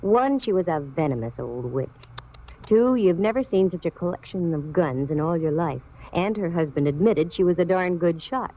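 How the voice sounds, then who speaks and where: A woman acting a sharp, wisecracking private detective in a vintage radio mystery. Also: lowish voice, expressive, moderate pace. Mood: wry, confident. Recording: dull old radio drama recording.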